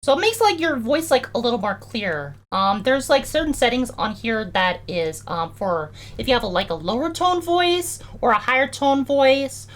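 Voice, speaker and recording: Chatty high-voiced streamer explaining edits. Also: very animated, normal speed, few pauses, a bit bright. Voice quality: high-toned voice. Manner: chatty, heavy use of "like" and "um". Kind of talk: casual live-stream chat while editing audio.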